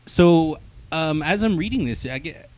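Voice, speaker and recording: A man's voice: a little high, expressive, measured pace, a little mellow. Casual man co-hosting over noisy background. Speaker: co-host of a comic review show. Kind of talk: casual banter review chat.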